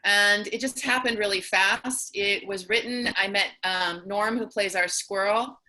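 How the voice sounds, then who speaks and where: A woman's voice: medium pitch, unhurried, bright, forceful tone, poor audio. A middle-aged woman playwright casually explaining her own play. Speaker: playwright and performer.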